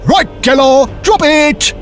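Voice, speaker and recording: A man's voice: very high-pitched, expressive, slow, music in the background, voice pushed up, heavily acted. A man voicing a mean, scheming dwarf villain in an audiobook, muttering and impatient. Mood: bossy. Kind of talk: audiobook character voice.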